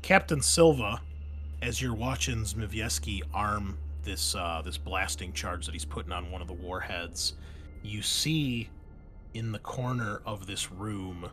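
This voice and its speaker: A man narrating a tabletop roleplaying game as game master, describing scenes haltingly and correcting himself as he goes. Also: on the low side, fairly bright tone, soft background music. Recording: clear background noise.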